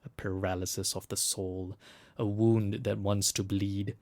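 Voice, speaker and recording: Slow, low-voiced solemn guide. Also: male voice, flat delivery. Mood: grave, cautioning. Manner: deliberate, hushed, poetic, instructing. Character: solemn male guide instructing an archival recorder in ruins. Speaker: narrator guide. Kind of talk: scripted audio drama narration.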